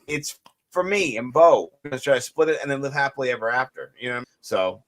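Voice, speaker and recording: A man with a raspy voice co-hosting a casual fantasy sports show, joking around and talking in loose restarts. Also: medium pitch, fairly quick, slight background noise. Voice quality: raspy today. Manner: animated, jokey, rambling with restarts. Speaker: co-host.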